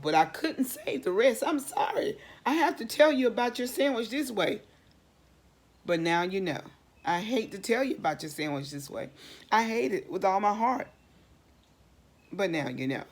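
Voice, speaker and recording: An apologetic dog from a storybook, acted out by a woman, confesses with earnest, dramatic emphasis. The voice sits a little low and takes its time. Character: apologetic dog narrator confessing about a lost sandwich.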